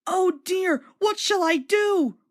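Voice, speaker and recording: A woman voicing an eager, excitable little boy tank engine in a children's story, with a childlike, earnest manner. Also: very high-pitched, slow pace, voice pushed up. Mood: distressed.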